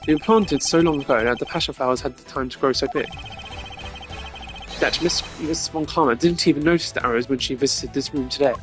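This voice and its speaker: A man voicing a composed, formal prosecutor from a courtroom video game, speaking in a measured, declamatory way. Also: mid-range voice, faint music behind, lightly acted. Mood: grave, accusing.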